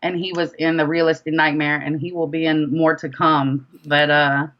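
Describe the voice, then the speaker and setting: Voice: mid-range voice, flat delivery, moderate pace, lo-fi sound. An independent rapper talking casually as an interview guest, chatty and informal, repeating phrases for emphasis.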